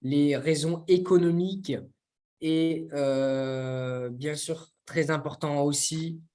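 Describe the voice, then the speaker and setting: Young man from France, hesitant, fairly high voice for a man, casual co-host. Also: steady tone, dark tone. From France, near Toulouse. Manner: halting, searching for words. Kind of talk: casual discussion.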